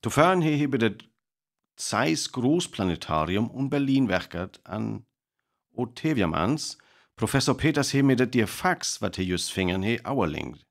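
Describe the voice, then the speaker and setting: An earnest man reporting live in a radio drama. His North Frisian voice sits at a medium pitch. He speaks formally and deliberately, in the manner of a radio interviewer. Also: very animated, gravelly. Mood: earnest, attentive. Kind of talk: radio play acting as live news reporting.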